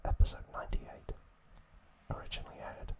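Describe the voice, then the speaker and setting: Hushed ASMR whisperer, almost entirely unvoiced. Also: fairly quick. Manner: soft, unvoiced whispering throughout. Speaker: ASMR host. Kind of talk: whispered ASMR narration of episode trivia.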